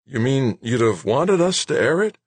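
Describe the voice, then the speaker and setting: A man's voice: mid-range voice, very animated, normal speed, breathy edge, dry recording, voice pushed up. A man voicing an anxious younger news executive in an audiobook, timid, deferential and defensive. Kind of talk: audiobook character dialogue.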